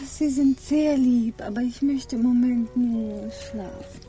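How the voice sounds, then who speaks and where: Eine Schauspielerin in einem deutschen Hörspiel, die eine gefasste, höfliche, lebensmüde Dame auf See gemessen und förmlich spielt. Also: female voice, a little high, warm tone. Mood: tired, gentle. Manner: measured, formal. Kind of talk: German radio drama acting.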